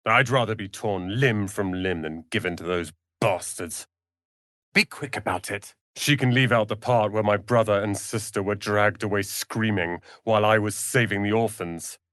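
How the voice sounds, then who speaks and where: A man voicing a proud, sharp-tongued young wizard's apprentice and protective brother in a fantasy video game, articulate and theatrical. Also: fairly low pitch, normal speed, dry recording, clean studio sound, lightly acted. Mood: weary, subdued.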